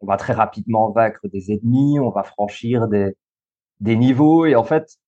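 A man's voice: lowish voice, moderate pace, warm tone, dull audio. A man of thirty with a Belgian French accent, explaining as a guest on a podcast interview, with many fillers and restarts. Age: 30s. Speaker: guest, school coach and social worker.

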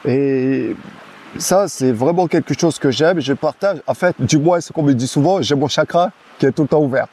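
A man from French Guiana talking casually as an interview guest, relaxed and hesitant, with frequent restarts and fillers. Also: fairly high pitch, moderate pace, a little hiss or hum.

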